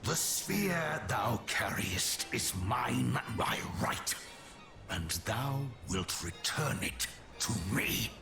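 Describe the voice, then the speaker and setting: An actor playing a menacing, grandiose dragon lord in a fantasy game, with pompous, archaic, commanding speech. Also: male voice, medium pitch, sing-song, drawn-out words, over music, exaggerated voice. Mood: imperious. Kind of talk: theatrical fantasy game acting.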